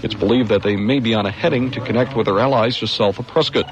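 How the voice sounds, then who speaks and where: An actor playing a radio news anchor, reading bulletins briskly. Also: male voice, fairly low pitch, highs cut off, music in the background, close to an everyday voice. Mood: urgent.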